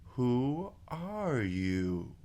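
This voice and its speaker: A man voicing a stern, condescending storybook caterpillar in slow, drawn-out, terse phrases. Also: mid-range voice, sing-song, dark tone, voice pushed up. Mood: contemptuous. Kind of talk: storybook character voice.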